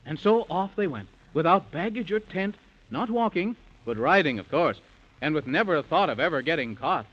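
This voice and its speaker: A man's voice: fairly high pitch, animated, moderate pace, a bit bright. A man narrating a children's story in sing-song rhyming verse, with a theatrical 1930s radio delivery. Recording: muffled lo-fi 1930s radio recording.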